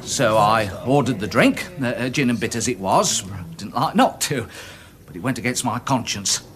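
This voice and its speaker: A man's voice: mid-range voice, lively intonation, moderate pace, a bit bright, slight background noise, natural voice. An actor playing a respectable, embarrassed little Englishman giving evidence in a radio drama, prim, hesitant and stammering. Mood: sheepish, confessional.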